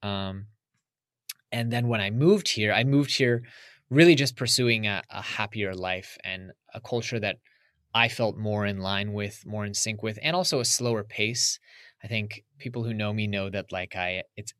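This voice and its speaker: A man with a lowish voice, talking as a guest in a relaxed interview. He thinks aloud and reflects as he goes. The sound is dry and close, with no room around it. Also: normal speed, no echo. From United States. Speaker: podcast guest. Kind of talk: casual interview talk.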